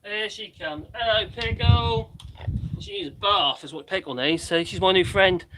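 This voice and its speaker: A man's voice: sits high, normal speed, noisy background. A man in his fifties with a Norfolk accent, chatting casually and rambling on a live stream, laughing often. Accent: Norfolk English. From Norfolk, England. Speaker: livestream guest. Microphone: camera on tripod.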